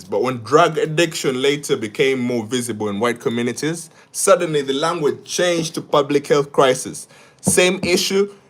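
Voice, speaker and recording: A young man from Uganda, hosting a live stream where he talks through social issues and reads viewer comments, often repeating words and restarting his sentences. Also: a little high. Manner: asks "yeah?" after each point. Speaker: livestream host.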